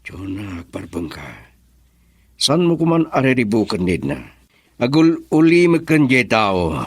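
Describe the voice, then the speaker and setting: A weary, exasperated father in a radio melodrama. His middle-aged voice is husky, with lively swings in intonation. It sounds dry. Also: mid-range voice, a little slow, no echo. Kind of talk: melodramatic radio drama acting.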